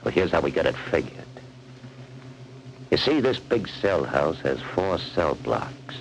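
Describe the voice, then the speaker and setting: An actor playing a tough, bossy convict with slangy, ungrammatical talk in a 1940s radio crime drama, on an old recording. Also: male voice, very deep voice, sing-song, a little slow, gravelly, slight background noise, heavily acted, poor audio. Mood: scheming, confident. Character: tough, bossy male convict plotting prison break.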